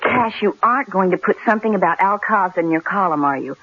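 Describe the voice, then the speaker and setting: A woman playing a worried, sharp-tongued newspaper secretary in a radio drama, anxious and sardonic. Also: mid-range voice, lively intonation, fast, noisy background, natural voice. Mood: wary. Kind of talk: 1970s radio drama acting. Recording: thin, phone-like old radio broadcast.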